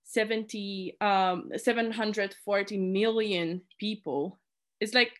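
A woman's voice: mid-range voice, steady tone, slow. A young woman from Poland, a native Polish speaker, chatting casually as a committee chair in an interview and filling her talk with "like" and restarts. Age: young adult. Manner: chatty, many "like" fillers and restarts.

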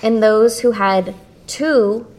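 A woman co-host presenting education bills from prepared notes in a measured way. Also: fairly high pitch, a little mellow.

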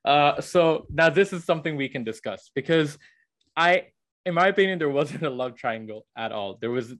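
Young man casually debating a book. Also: medium pitch. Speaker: podcast co-host.

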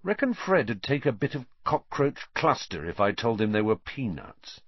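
A man voicing a brash, cheeky teenage schoolboy in a storybook reading, blunt and excitable. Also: medium pitch, moderate pace, no room sound, highs cut off. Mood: eager.

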